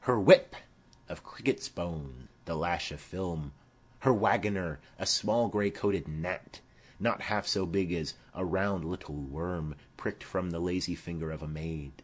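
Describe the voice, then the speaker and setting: A man voicing a boisterous, witty young gentleman in a theatrical Shakespeare audiobook reading. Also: low-pitched, a little slow, slightly rough. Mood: fanciful, theatrical. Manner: theatrical, declamatory.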